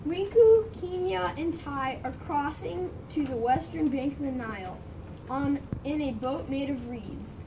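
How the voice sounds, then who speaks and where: A woman with a high voice narrates a scripted story for amateur readers theater. She reads a little haltingly, and the mic is far away in a noisy room.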